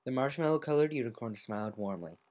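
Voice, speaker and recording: A woman's voice: extremely low pitch, animated, fast talker, a little mellow. A woman reading a story aloud as an amateur, in semi-dramatic scripted narration. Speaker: amateur fan fiction reader.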